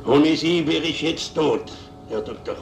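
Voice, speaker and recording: An actor playing a broken, frightened peddler, halting and plaintive, in a crime drama. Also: male voice, on the high side, gravelly. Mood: despairing. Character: broken, frightened peddler pleading his innocence. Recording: echoey old soundtrack with music behind.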